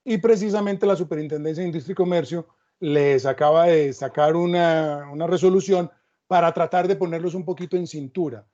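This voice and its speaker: High-voiced mellow man moderating. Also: fairly even intonation, a little fast. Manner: reflective, storytelling asides. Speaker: panel moderator. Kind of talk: academic panel talk. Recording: dull lo-fi sound.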